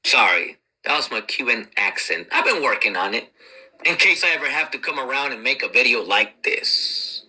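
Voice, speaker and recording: A woman's voice: very deep voice, animated, normal speed, pushed and bright, breathy edge, low-quality recording. A woman giving a mocking commentary to camera, laughing and jeering as she talks. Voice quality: mocking, laughs while talking. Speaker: video commentator. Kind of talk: mocking monologue.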